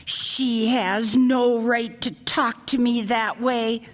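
A woman's voice: fairly high pitch, fairly even intonation, slow, noisy background. A woman playing a haughty, indignant old grandmother in a radio drama, imperious and easily affronted. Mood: outraged, demanding. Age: older.

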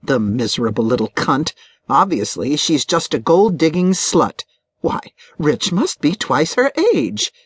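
A woman's voice: low-pitched, sing-song, unhurried, breathy edge, no room sound, heavily acted. A woman voicing a blunt, gossipy, crude female confidante in an audiobook, with a brash, scolding manner. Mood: scornful, urging.

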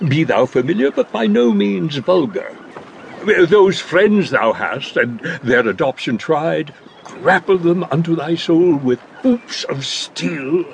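A fussy old counsellor in a Shakespearean audio play. His voice is on the high side and swoops widely. He rambles slowly and pompously, stopping and starting, over faint background noise. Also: animated, gravelly, heavily acted, poor audio. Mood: fond, sententious. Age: older. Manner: pompous, halting, sententious advice-giving. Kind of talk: Shakespearean audio drama acting.

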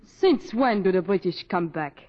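An actress playing a bitter, defiant young French chambermaid in a 1940s radio drama, cool and sharp-tongued. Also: female voice, on the low side, lively intonation, normal speed. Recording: muffled old radio recording, long reverb.

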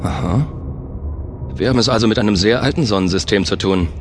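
A man voicing a calm spaceship captain. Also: lowish voice, fairly quick, lightly acted. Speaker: spaceship pilot and first-person narrator. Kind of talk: audio drama acting and narration. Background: music.

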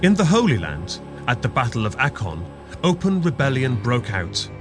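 A man's voice: medium pitch, big pitch swings, moderate pace. A man giving dramatic scripted narration for a children's audio adventure story. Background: music.

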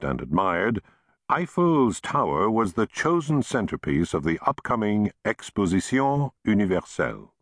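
Male audiobook narrator, very deep voice, lively varied pitch, measured and expressive delivery, dry studio sound. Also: dry recording. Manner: measured, expressive storytelling reading.

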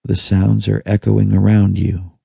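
A man narrating a guided meditation in a soothing, hushed manner with long pauses. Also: on the low side, little pitch movement, vocal fry, breathy edge, no room sound. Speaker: audiobook narrator.

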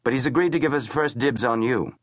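A man's voice: mid-range voice, a little fast, no room sound, clean studio sound, pitched above the performer's own voice, close to an everyday voice. A man voicing a terse senator in his sixties who speaks curtly. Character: terse older male senator, displeased.

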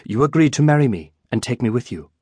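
A man's voice: medium pitch, lively intonation, quick pace, darkish tone, slightly rough, no echo, studio quality. A man voicing a stern, scorned medieval woman who speaks curtly and scolds, in an audiobook. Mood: firm, insistent. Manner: scolding, firm. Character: stern, defiant scorned medieval woman, curt with her ex-husband.

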